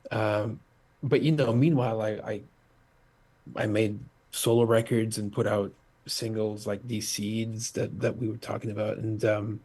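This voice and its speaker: Man, husky voice, middle-aged, from Portugal, halting casual chat, lots of fillers, video call. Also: on the low side, fairly even intonation, a little mellow. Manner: halting, many fillers and restarts. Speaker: musician guest.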